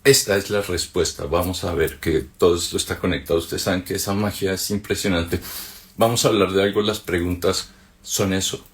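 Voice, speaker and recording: A Colombian man with a very low voice walks through a spiritual text in an easy conversational way. He keeps checking in with short rhetorical questions as he explains. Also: steady tone, fairly quick. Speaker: spiritual teacher. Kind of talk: reflective spoken commentary on a text.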